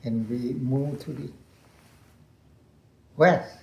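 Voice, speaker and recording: An older man with a Lao accent, a retired colonel recounting his war years in halting English, repeating phrases and laughing often. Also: medium pitch, gravelly, mellow, reverberant, a little hiss or hum. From Laos. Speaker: interview guest, veteran colonel.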